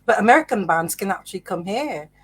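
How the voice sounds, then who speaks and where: A woman's voice: mid-range voice, lively intonation, fast talker, breathy edge. A woman hosting a podcast, chatting casually with loose, tentative phrasing. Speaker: podcast host. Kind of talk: casual interview chat.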